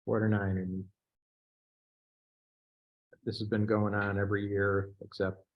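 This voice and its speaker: A man's voice: lowish voice, little pitch movement, a little slow. A man chairing a local board meeting, moving through the agenda in informal procedural talk. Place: meeting room. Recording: dull, muffled room recording.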